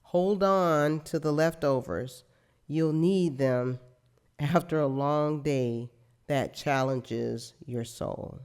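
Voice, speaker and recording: Woman, worship leader, unhurried, smooth and resonant, measured church reading. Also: low voice, darkish tone. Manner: slow, measured reading with a light wry aside. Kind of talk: reading and introduction at a church service.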